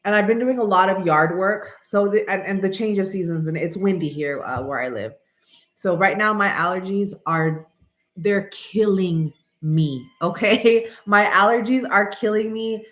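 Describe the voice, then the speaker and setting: A woman's voice: medium pitch, normal speed, fairly bright tone, roomy sound. Congested, nasal woman in her thirties. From American. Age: 30s. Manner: chatty, rambling, frequent throat clearing. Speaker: channel host. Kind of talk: casual vlog chat.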